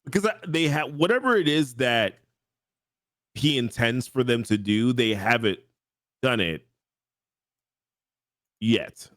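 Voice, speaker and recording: A man's voice: medium pitch, expressive, slow, pauses often, a bit bright, no echo. A man co-hosting a casual podcast, thinking aloud in a rambling way and often repeating himself, speaking close into a heavy dynamic microphone. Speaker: podcast co-host. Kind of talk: casual chat. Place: home studio, streaming live. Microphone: close dynamic mic.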